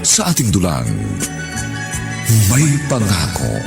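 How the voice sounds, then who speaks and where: A man's voice: low-pitched, wildly swooping pitch, slow pace, creaky. A man with a Filipino accent announcing a scripted radio drama. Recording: very loud music bed.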